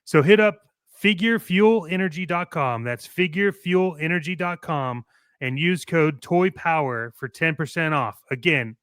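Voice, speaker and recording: A man's voice: on the high side, a bit bright, clean tone, no echo, studio quality. A man co-hosting a toy collecting show, playful and enthusiastic, moving between ad reads and casual banter.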